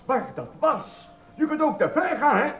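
Sulky male shop assistant, high lively pitch, whiny, flustered, repetitive, echoey room, radio comedy. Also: normal speed. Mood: defensive, flustered. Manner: hesitant, repetitive, put-upon. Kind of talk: radio comedy drama acting.

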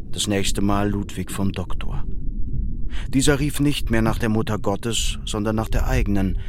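Male narrator, lowish pitch, literary radio drama, scripted storytelling, ambient noise behind.

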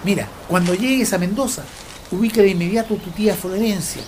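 A woman's voice: mid-range voice, fairly quick, darkish tone, slight background noise, close to an everyday voice. A woman voicing a man in his seventies with memory loss, anxious and disoriented, in a community radio drama. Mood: worried scolding. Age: 70s.

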